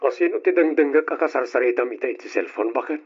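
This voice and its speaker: An actor with an Ilocano accent playing a domineering, tight-fisted but doting husband in an old radio drama. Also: male voice, medium pitch, quick pace, faint music behind, lightly acted. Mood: stern, irritated. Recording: dull, lo-fi old radio recording.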